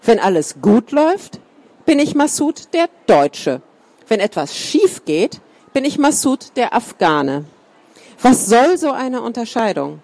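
A woman reading a first-person account aloud in a calm, measured way. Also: on the high side, no room sound. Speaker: reader.

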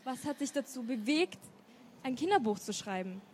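Moderatorin mit hoher Stimme. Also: female voice, high voice, lively intonation, normal speed, darkish tone, slight background noise. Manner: reads intro, asks friendly questions. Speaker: event moderator. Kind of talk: book fair interview.

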